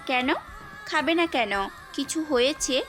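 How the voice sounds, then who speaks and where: A high female voice from an actress playing a concerned, gently bossy teacher. It is audio-story drama, with music running softly behind. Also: slow pace. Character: caring, insistent young female university teacher. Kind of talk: Bengali audio story acting. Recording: music bed under the voice.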